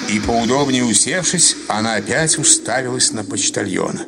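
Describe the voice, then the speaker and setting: A man narrating a literary short story for radio drama in a measured, expressive storytelling manner. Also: mid-range voice, vocal fry, background noise. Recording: loud music under the narration.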